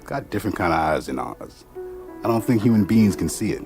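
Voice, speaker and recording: Radio-play mystic: very low voice, folksy, dreamy, easygoing eccentric, music underneath. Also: male voice, lively intonation, fairly quick, darkish tone. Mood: amused, musing. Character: Mojo, laid-back, dreamy, eccentric mystic man. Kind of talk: radio drama acting.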